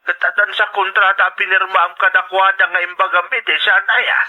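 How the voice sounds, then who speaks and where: A man's voice: sits high, level tone, brisk. A man with a Filipino accent playing a concerned, fatherly older man over a telephone effect in an Ilocano radio drama. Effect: telephone filter. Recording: thin, narrow telephone-line sound.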